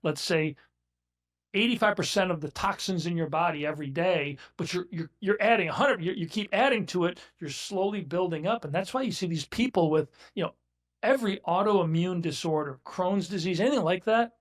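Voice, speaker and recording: A man's voice: sits high, fairly quick. Blunt, emphatic man in his sixties. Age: 60s. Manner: blunt and emphatic, with frequent repeated restarts. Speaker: guest, business owner. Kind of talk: casual conversational interview.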